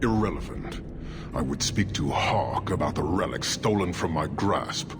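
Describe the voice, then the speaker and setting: Very deep, slow, stern giant commander. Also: male voice, lively intonation, background noise. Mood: stern, unyielding. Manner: terse, grave, measured pronouncements. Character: stern, imperious horned giant warrior commander. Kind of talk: video game cutscene acting. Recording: loud music under the voice.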